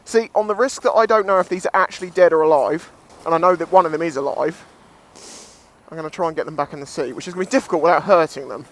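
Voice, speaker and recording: A man's voice: a little high, quick pace, fairly bright tone. A British man giving casual, jokey, sweary commentary as he vlogs outdoors on a seashore. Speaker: beachcombing vlogger.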